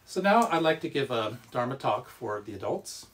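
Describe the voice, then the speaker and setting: A man's voice: mid-range voice, very animated, normal speed. A man speaking as a storyteller and service leader, addressing children and families in simple, friendly language.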